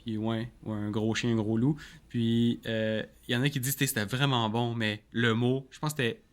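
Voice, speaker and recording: A man's voice: medium pitch, flat delivery, normal speed. Quebec french guy co-hosting a book podcast, mid voice, rambles casually. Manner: loose, rambling, colloquial. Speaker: podcast co-host. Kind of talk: casual chat about books.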